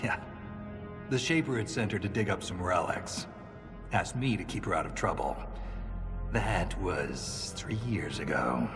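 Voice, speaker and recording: An actor playing a gruff, wry dwarf soldier in a video game, dry and plain-spoken. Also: male voice, low-pitched, animated, unhurried, long pauses. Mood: sardonic. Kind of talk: video game dialogue acting. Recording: long cavernous reverb, music underneath. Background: game music.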